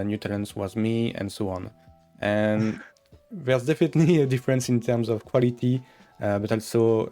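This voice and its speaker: Relaxed man hosting a dev stream. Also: on the low side. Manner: relaxed, filler-heavy, occasional laughs. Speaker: game developer and stream host. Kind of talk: casual dev stream chat.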